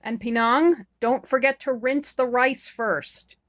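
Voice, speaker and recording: A woman's voice: fairly high pitch, steady tone, slow, a bit bright. A woman playing a weary, sick widowed mother. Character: sick, tired widowed mother, patient then cross.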